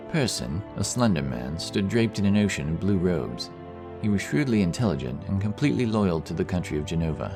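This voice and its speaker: A man narrates a fantasy audiobook in a low-pitched voice. The delivery is scripted storytelling. Music is plainly present behind the narration.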